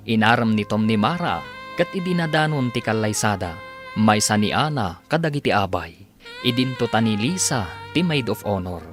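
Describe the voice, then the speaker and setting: A woman narrating and announcing a radio drama serial in a scripted style. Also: rumbling low. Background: music.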